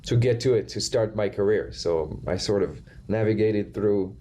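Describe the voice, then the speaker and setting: A man's voice: lowish voice, moderate pace, mellow. A young man from southeastern France with a slight French accent, answering interview questions thoughtfully with frequent restarts and fillers. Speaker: guest drummer.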